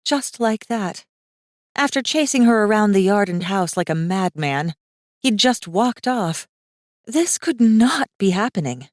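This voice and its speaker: A woman's voice: mid-range voice, lively intonation, measured pace, dry recording. A woman reading scripted fantasy fiction as a dramatic audiobook narrator. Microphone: close studio microphone. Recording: dry studio recording.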